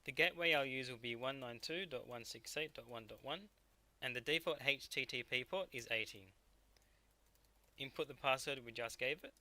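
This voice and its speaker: Bright, crisp-edged man giving instructions. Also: medium pitch, moderate pace. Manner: instructional, step-by-step. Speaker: technical instructor. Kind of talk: scripted software tutorial narration.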